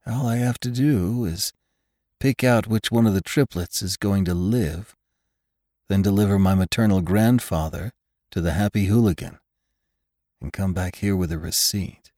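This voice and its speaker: A man's voice: sits low, normal speed, dry recording, clean studio sound, voice pushed up. A man voicing a miserable, sulky expectant father in a storybook reading, with an empty, tense, sardonic manner. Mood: sulky, taut. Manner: flat-hearted, sardonic, tense. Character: wretched, sulky, weary young-ish expectant father.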